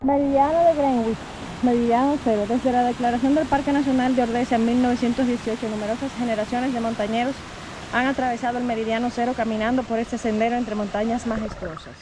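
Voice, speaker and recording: Over clearly noisy outdoor ambience, a woman reads informational text aloud. Her voice sits a little high, and the delivery follows the written wording. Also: fairly even intonation, fast.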